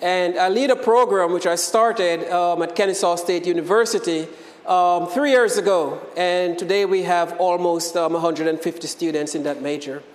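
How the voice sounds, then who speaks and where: A middle-aged man with a Jamaican accent giving a friendly guest lecture to students on a lapel mic, pausing often on ums and inviting questions. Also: sits high. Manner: friendly, filler-heavy, keeps inviting questions. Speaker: guest lecturer, university program director. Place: lecture room. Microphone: lapel microphone. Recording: echoey lecture hall with long reverb.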